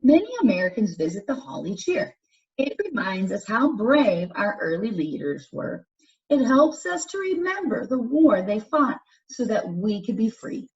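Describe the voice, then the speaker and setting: Female teacher, children's read-aloud, animated intonation, deliberate instructional delivery, muffled lo-fi recording. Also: medium pitch. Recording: dull, distant microphone pickup.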